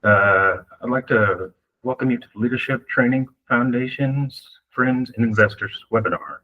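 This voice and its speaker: A man's voice: mid-range voice, steady tone, normal speed. A man moderating a webinar, opening it with a brief, casual welcome and a few fillers. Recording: phone-like thin audio.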